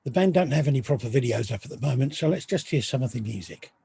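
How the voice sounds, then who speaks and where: A man hosting a music podcast interview, asking questions with many pauses and restarts. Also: medium pitch, lively intonation, gravelly, dark tone. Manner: hesitant, many ums and restarts. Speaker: podcast host. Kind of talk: casual interview.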